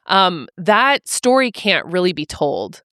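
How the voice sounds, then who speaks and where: A woman's voice: on the low side, big pitch swings, takes it slowly, pushed and bright, smooth, no echo, clean studio sound. A young adult woman guest on a podcast, talking casually and thoughtfully, with many fillers and restarts. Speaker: author guest. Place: mobile podcast studio.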